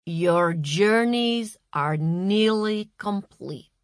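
A woman voicing a patronizing, serenely fanatical Russian female wellness guru in an audiobook, speaking with deliberate patience. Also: mid-range voice, lively intonation, no echo, clean studio sound. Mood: serene, congratulatory. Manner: slow, deliberate, patient condescension.

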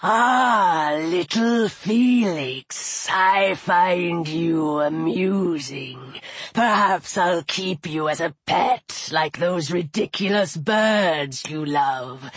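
An ancient male serpent of chaos from a storybook, acted by a woman. The voice drops low and husky and stretches each word very slowly. It is menacing and mocking, like a villain savouring a threat. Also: dry recording, full character voice. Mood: taunting. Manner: slow, drawn-out, taunting menace. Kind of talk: audiobook character voice.

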